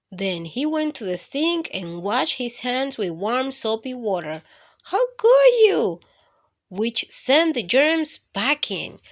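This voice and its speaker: A woman hosting storytime for children, reading aloud with deliberate phrasing and speaking straight to her young listeners. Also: on the high side, a bit bright.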